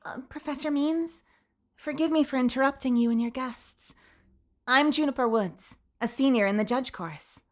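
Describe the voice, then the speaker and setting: A woman's voice: on the high side, pitched above the performer's own voice, close to an everyday voice. A woman voicing a prim, nervous young female student who speaks politely and hesitantly.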